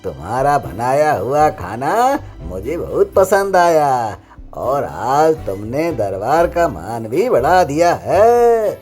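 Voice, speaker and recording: A man plays a gracious, pleased cartoon king. He speaks slowly and with lively swings, pouring out generous praise. Light music plays behind him. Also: fairly high pitch. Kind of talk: Hindi animated moral comedy acting.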